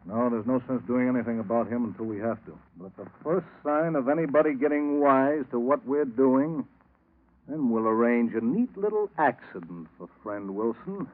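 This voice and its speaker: Male actor as short-tempered gangster boss for old radio thriller; commanding, scheming, mid-pitched, thin phone-like sound. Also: animated, normal speed, natural voice. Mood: curt, scheming. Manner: curt, commanding. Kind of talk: 1940s radio crime drama acting. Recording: narrow, tinny old radio recording.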